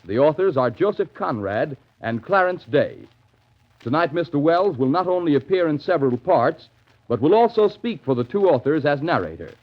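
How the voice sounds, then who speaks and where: A man announcing formally and in measured phrases in the style of 1930s network radio. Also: on the high side, sing-song. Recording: dull vintage 1930s radio broadcast, music.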